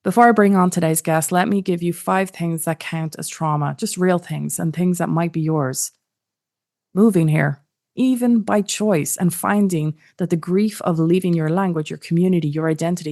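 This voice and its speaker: Low-voiced woman hosting a podcast. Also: normal speed, a little mellow. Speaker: podcast host. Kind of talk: scripted introduction and interview.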